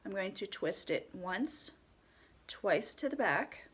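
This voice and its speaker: A woman with a stuffy nose from a cold, calmly talking through a step-by-step tutorial and often dropping to a soft whisper. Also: medium pitch, husky. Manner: calm, unhurried, often drops to a soft whisper. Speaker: head wrap tutorial host.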